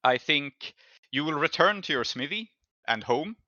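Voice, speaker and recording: A man with a bright, forward voice narrates a tabletop game as game master, improvising scenes as he goes. The sound is dry and close, a little dulled in the recording. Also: a little high, animated, measured pace, no echo, muffled recording.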